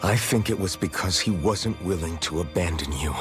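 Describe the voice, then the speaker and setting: A man's voice: deep voice, moderate pace, close to an everyday voice. An actor playing an earnest, resolute young rebel swordsman in a video game, direct and sincere. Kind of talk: English video game dub acting. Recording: music behind.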